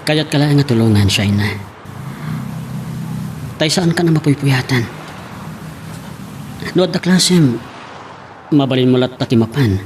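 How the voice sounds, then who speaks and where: A man's voice: medium pitch, animated, a little slow, long pauses, lightly acted. Man acting as an earnest young suitor, noisy recording. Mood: earnest, reassuring. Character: earnest young male suitor, devoted and persistent. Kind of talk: Ilocano radio drama acting.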